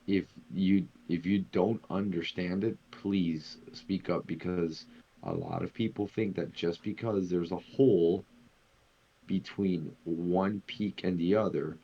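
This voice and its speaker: Man, very low gravelly voice, flat delivery, casual live stream co-host. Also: slow pace, lo-fi sound. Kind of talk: casual live trading lesson.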